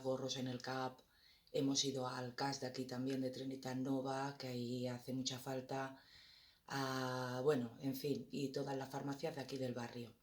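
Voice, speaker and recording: A man's voice: mid-range voice, a bit bright. A man with an accent from Spain giving a casual greeting of thanks as a volunteer. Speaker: volunteer mask distributor.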